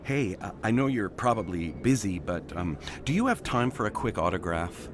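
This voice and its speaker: A man's voice: lowish voice, sing-song, normal speed. A man playing a starstruck, awkward fan in a video game, gushing and nervously hesitant. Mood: nervous, grateful. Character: starstruck, awkward male fan of a war hero. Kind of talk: video game character acting. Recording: clear background ambient noise.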